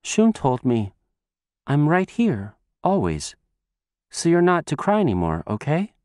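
A man voicing a tearful, gentle mother. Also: fairly high pitch, sing-song, dry recording, studio quality, voice pushed up, exaggerated voice. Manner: soft, halting speech.

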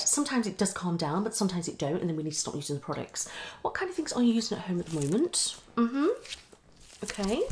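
Female ASMR clinician, thirties, creaky mid-pitched voice, drifting into whispers, reassuring bedside patter. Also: a little mellow, a little hiss or hum. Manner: hasty, reassuring clinical patter addressed to a patient. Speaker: ASMR performer playing a dermatologist. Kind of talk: ASMR medical roleplay, moving between whispers and soft speech. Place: small living room at home, indoors.

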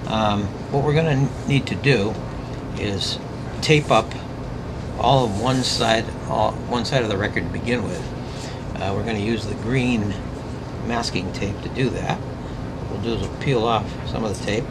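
A man giving an informal hands-on craft demonstration, explaining step by step in a halting, unscripted way. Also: lowish voice. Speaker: craft instructor demonstrating scroll saw work. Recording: loud ambient hum and workshop noise.

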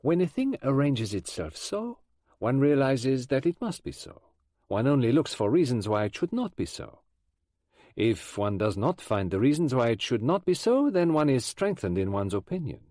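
A self-assured male detective, played by the narrator who weighs each word with measured precision. His delivery is deliberate and unhurried, with lively rises in pitch. Dry, close sound. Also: no echo, close to an everyday voice.

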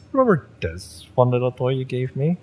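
Guy voicing a fiery young mercenary in an audio rp, deep slow taunting drawl, smug and threatening, some background ambience noise. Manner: taunting, smug, drawn-out menace with swearing. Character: cocky, fiery young male cyberpunk mercenary, taunting and menacing. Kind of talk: dramatic audio roleplay, intimate villain-romance acting.

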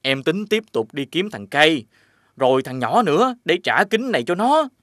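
A man voicing an excitable, soft-hearted little demon in an animated fairy tale, with a childlike manner. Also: a little high, moderate pace, no room sound, clean studio sound. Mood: earnest.

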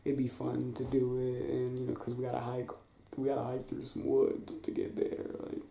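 A young man doing an affectionate ASMR boyfriend roleplay, soft-spoken, halting and playful, as if chatting in bed. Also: mid-range voice, monotone, gravelly. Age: young adult. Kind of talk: ASMR boyfriend roleplay, improvised intimate chat. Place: small indoor bedroom, lying on a bed. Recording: roomy bedroom sound with faint hiss.

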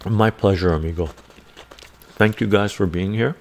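Gravelly low-voiced man chatting on stream. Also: normal speed, darkish tone, a little hiss or hum. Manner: rambling, chuckling, reads chat aloud. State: chest congestion, coughing. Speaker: livestream host. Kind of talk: casual chat reading viewer comments. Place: home room with bookshelves. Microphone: desk microphone in front of him.

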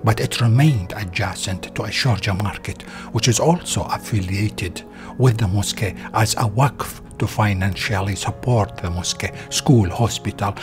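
A man reads a scripted historical narration as a documentary narrator. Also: on the low side. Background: background music.

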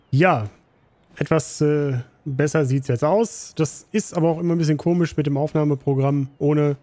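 A slightly hoarse, tired man casually commenting on a game he plays, rambling with many fillers. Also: on the high side, warm tone. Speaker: gaming channel host.

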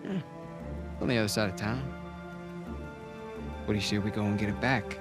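A man's voice: on the low side, fairly even intonation, normal speed, lightly acted. A man playing a protective, streetwise older brother in a video game cutscene, talking casually and persuasively, sometimes hesitant. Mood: conspiratorial. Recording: music behind the dialogue.

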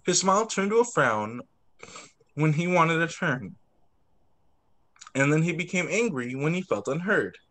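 Animated man reading aloud to children. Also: on the high side. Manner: expressive, drawn-out words, child-friendly asides. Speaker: teacher reading picture books to pupils. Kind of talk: animated read-aloud story time for young children. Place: indoors on a video call.

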